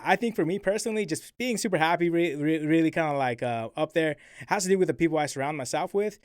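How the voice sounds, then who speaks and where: A man's voice: fairly high pitch, clean tone, no echo, clean studio sound. A man in his twenties, raised in New Mexico, chatting as a podcast guest in an upbeat, easygoing way, full of "you know, man". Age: 20s. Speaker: guest, roofing executive. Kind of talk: casual interview chat.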